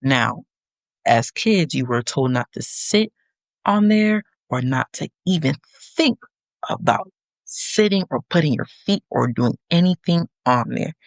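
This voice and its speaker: A young adult woman hosting a podcast, giving a sassy, animated monologue with teasing asides to her listeners. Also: deep voice, unhurried, no echo. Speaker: podcast host.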